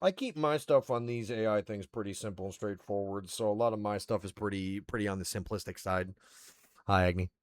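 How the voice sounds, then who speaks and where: A male streamer chats casually between readings, rambling and self-mocking. His voice sits low and sounds dry and close. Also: fairly quick, dry recording. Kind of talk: casual chat, reading roleplay aloud.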